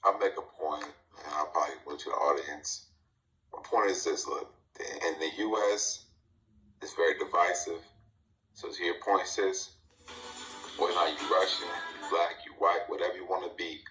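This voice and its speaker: A man's voice: deep voice, fairly even intonation, normal speed, pauses often, sharp tone, roomy sound, soft background music, poor audio. A man moderating a group talk, giving a blunt, profane, repetitive opinion. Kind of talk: casual opinion rant.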